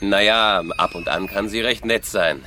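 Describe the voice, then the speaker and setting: A man's voice: fairly low pitch, moderate pace, bright, forceful tone, a little hiss or hum. An actor playing a polite but evasive father, hesitant and awkward, in a radio play.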